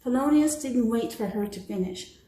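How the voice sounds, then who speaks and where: A woman's voice: fairly high pitch, expressive, normal speed, warm tone. An American woman reading a bedtime story expressively to children, in a roomy, echoing home recording. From Michigan, United States. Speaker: storybook reader.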